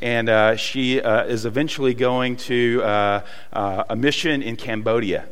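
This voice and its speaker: A man with a Southern US accent preaching a sermon, telling folksy stories with many pauses and fillers. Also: medium pitch, fairly even intonation, fairly bright tone, clean tone, in a large hall. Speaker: pastor. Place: church hall.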